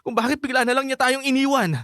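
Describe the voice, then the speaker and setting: A man's voice: extremely high pitch, little pitch movement, fast, bright, forceful tone, no echo, clean studio sound. An actor with a Filipino accent playing an emotional, pleading teenage son in a radio drama, stammering through his lines. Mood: hurt, remorseful. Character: distraught teenage son refusing to believe his father left.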